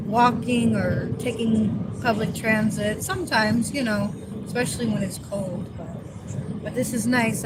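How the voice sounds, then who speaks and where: Easygoing woman chatting in a noisy car. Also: mid-range voice. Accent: New Jersey. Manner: easygoing, grateful, rambling with fillers. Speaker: vlogger. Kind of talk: casual livestream chat. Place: in a car on the road. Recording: loud road noise from a car. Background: vehicle noise.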